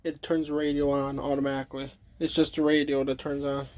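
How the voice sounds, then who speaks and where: A man giving a casual, unscripted demonstration as a hobbyist, halting and trailing off mid-thought. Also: mid-range voice, level tone, a little mellow, no room sound. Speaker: hobbyist demonstrating a weather radio collection.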